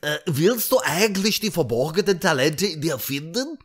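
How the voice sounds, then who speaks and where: A man's voice: fairly high pitch, big pitch swings, normal speed, husky, pitched above the performer's own voice. A man voicing an anxious, scheming male guinea pig who talks in an urgent, coaxing way. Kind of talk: comic audiobook voice acting.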